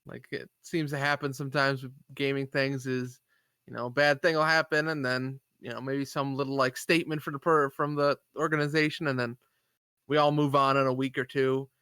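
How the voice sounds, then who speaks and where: A man's voice: mid-range voice, flat delivery, a little fast, pushed and bright, smooth, no room sound. A man co-hosting a live stream, chatting casually about gaming news in a rambling way. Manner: rambling, lots of fillers and agreement. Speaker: podcast co-host. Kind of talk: casual chat.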